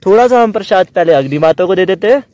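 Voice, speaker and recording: A young man chatting excitedly to viewers while camping on a mountain meadow, filmed on an action camera. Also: sits high, fast talker. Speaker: travel vlogger. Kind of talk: casual camping vlog. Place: outdoors on a mountain meadow by a campfire.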